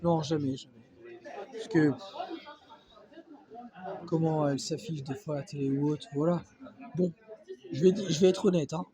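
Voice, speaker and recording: A man's voice: a little high, fairly even intonation, moderate pace, long pauses. Hesitant man with a cold, crowd behind. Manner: hesitant, many fillers and restarts. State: has a cold. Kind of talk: casual reaction vlog monologue. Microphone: phone not held, off-camera.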